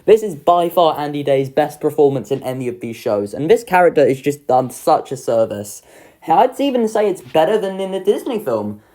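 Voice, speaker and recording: A young adult reviewer talks through a ranking in a casual, informal way. The voice is mid-pitched with a lively, swinging intonation, enthusiastic and sweary. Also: moderate pace. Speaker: video creator ranking children's pantomimes.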